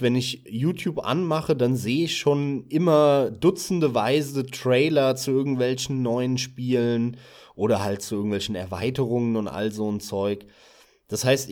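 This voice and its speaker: A German-speaking man co-hosting a podcast, chatting about gaming websites in a relaxed, casual way with a few filler sounds. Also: mid-range voice. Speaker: podcast co-host.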